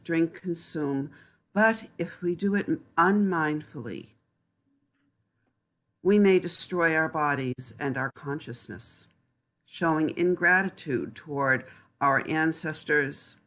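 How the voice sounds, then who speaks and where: A middle-aged woman reading gently and deliberately about mindful eating, in a calm meditative manner. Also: low voice.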